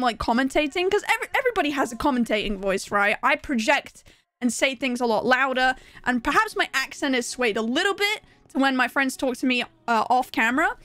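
Young English woman streamer; high, bright voice, quick bubbly chatter. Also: no echo. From England. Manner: fast, bubbly, laughs often. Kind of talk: casual live-stream chat.

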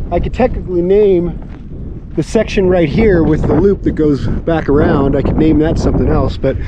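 A man's voice: a little high, dull audio, noisy background. Rambling man vlogging outdoors. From California. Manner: relaxed, rambling, with many fillers. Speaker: homestead vlogger. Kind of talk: casual walking vlog. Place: outdoors on open high desert land. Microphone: camera held at arm's length.

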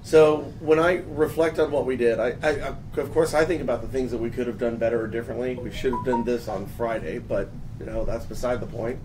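Gravelly-voiced man hosting a panel. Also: mid-range voice, fairly even intonation. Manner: casual, halting, lots of restarts. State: hoarse from a long three days. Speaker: host. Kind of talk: panel discussion. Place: office room with a panel. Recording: distant mic, noisy.

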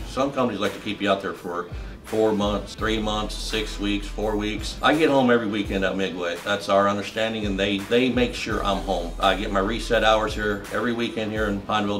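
Plainspoken trucker, low voice. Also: male voice, fairly bright tone. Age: 50s. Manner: plainspoken, matter-of-fact, lists in short bursts. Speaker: truck driver. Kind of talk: answering interview questions.

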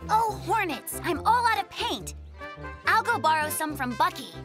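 A very high, lively cartoon voice for a modest little boy polar bear. He sounds friendly and earnest, with an animated rise and fall. Music runs behind the voice. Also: moderate pace. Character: earnest, modest, gently teasing young male polar bear cub. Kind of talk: children's cartoon voice acting.

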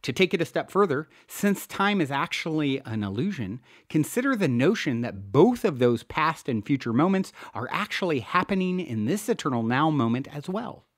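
A man reading a self-help book aloud as scripted narration, with emphatic, deliberate phrasing and drawn-out key words. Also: fairly high pitch, no echo, studio quality. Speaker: podcast host and author reading own book. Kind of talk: scripted audiobook-style narration.

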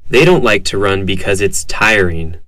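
Man reading lesson dialogue lines, low-ish bright voice, dry sound. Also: expressive, moderate pace, dry recording. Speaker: dialogue reader.

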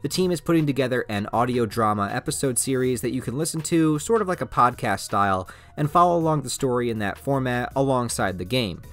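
A man's voice: medium pitch, lively intonation, fairly quick, few pauses, a bit bright. A man giving a scripted promotional narration as a video host. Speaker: YouTube host presenting a project.

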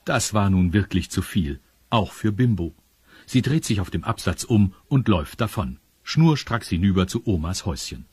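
Man, storyteller for children's audio drama, low pitch, very raspy, dry recording. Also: animated, moderate pace, a little mellow, no echo. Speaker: narrator. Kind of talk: scripted children's radio play narration.

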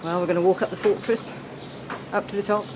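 A woman's voice: mid-range voice, steady tone, fast, loud music behind the voice, poor audio. A middle-aged woman chatting upbeat to camera as a sailing vlogger, outdoors on a boat and hill paths. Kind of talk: casual travel vlog chat. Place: outdoors on a sailboat and hillside paths. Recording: loud outdoor wind and boat noise.

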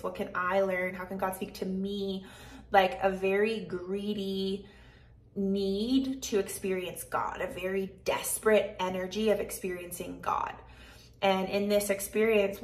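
A woman's voice: mid-range voice, vocal fry. A woman in her thirties speaking in an earnest, conversational way, sharing personal reflections as a casual vlogger. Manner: earnest, conversational, heavy use of "like" and "right". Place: living room at home, indoors. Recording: echoey room.

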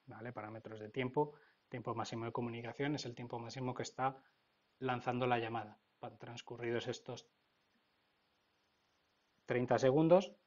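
A man's voice: medium pitch, darkish tone, dull audio. A man with an accent from Spain narrating an informal technical tutorial, explaining step by step and often checking in. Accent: Spain Spanish. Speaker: technical instructor.

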